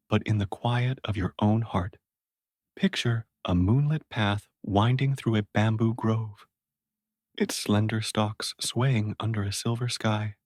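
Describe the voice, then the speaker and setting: A man narrates a guided sleep meditation in a fairly deep voice. His delivery is calming and measured, with a soft husk to the tone. The sound is dry, with very little room around it. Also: dry recording. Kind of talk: slow guided sleep meditation and bedtime storytelling.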